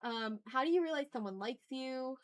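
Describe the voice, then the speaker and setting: A woman's voice: sits high, expressive, moderate pace. Casual sweary woman livestreaming. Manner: self-deprecating, swears freely, thinks aloud. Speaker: art livestreamer. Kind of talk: casual livestream chat while drawing.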